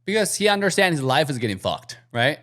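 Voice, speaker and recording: A man's voice: a little high, fast talker. A man from China, speaking English as a with slightly phrasing, giving blunt, casual reaction commentary as host. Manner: blunt, animated, slightly non-native phrasing.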